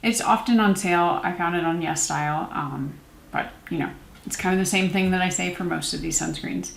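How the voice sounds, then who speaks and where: A woman's voice: fairly low pitch, brisk, vocal fry. A woman in her thirties chatting informally to camera in a casual product review, with self-deprecating asides. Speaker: beauty vlogger, series host. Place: small room at home, indoors. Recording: roomy indoor echo.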